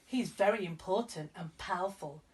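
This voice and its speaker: A woman narrating a children's story expressively as a storyteller, with clear emphasis for young listeners. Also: lowish voice, normal speed, darkish tone, recorded from a distance, low-quality recording. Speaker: storyteller, school reader.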